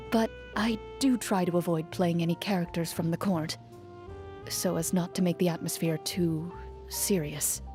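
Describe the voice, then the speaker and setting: A woman playing a composed, dutiful young female champion duelist in a video game, speaking in a formal, measured, reserved way. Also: lowish voice, warm tone, close to an everyday voice. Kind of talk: video game character voice lines, reflective monologue. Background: music under the voice.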